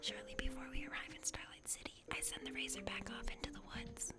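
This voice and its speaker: Whispering woman narrating a game over music. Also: fast. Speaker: host of an ASMR let's play.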